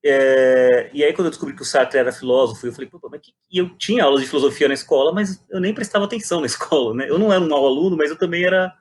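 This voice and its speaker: Higher-voiced São man over background noise. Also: racing, hardly any pauses. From Brazil. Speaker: guest, playwright and poet. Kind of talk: reflective conversational interview.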